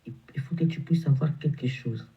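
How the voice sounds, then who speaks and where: A man with a head cold preaches in a fairly high voice, repeating phrases and tripping over his words for emphasis. The sound is a little dull and lo-fi. His voice is congested. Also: normal speed. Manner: repetitive, stumbling, emphatic preaching. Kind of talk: morning sermon exhortation.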